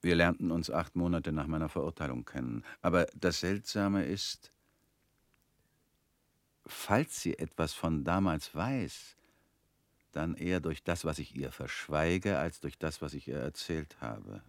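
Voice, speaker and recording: An actor playing a bitter former convict in a radio play, talking in a measured, brooding way. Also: male voice, extremely low pitch, no room sound.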